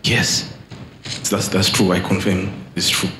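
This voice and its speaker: A man's voice: deep voice, flat delivery, sharp tone, slight background noise. A man from Zimbabwe speaking hesitantly into a handheld mic in a large church hall. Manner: hesitant, halting. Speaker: congregant.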